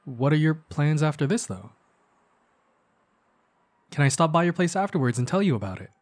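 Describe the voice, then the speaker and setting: A man's voice: a little high, sing-song, moderate pace. A young man playing a caring, earnest best friend in an intimate ASMR roleplay, talking in a casual, slightly hesitant, conversational way. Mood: hesitant, sincere. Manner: soft-hearted, hesitant, casual chatty phrasing.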